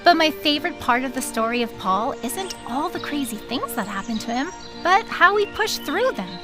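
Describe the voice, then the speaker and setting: Kindly, upbeat female ranger for kids' audio, played by a woman; high, expressive storytelling. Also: normal speed, over music. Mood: encouraging. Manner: animated, explanatory. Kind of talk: children's audio drama storytelling.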